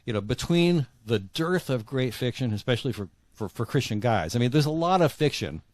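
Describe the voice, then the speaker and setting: A man's voice: medium pitch, lively intonation, a little fast. A man talking as an author guest in a casual interview, earnest and repeating words for emphasis.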